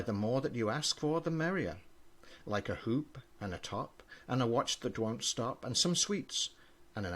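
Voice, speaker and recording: An older man, a grandfather, reading children's poems aloud with an expressive storybook delivery. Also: mid-range voice.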